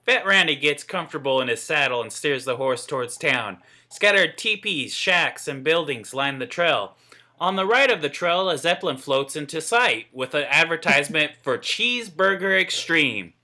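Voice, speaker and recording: A man reads screenplay narration in a very bright, forceful voice, pitched a little high. He sounds like he is at an informal read-through, stumbling now and then. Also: animated, moderate pace. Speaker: table reader of script narration and dialogue. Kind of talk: scripted narration read aloud.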